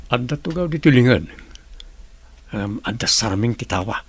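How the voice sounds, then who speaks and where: Gravelly, brooding man played by an actor. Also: medium pitch, lively intonation, moderate pace. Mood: watchful. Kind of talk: audio drama acting, muttered monologue.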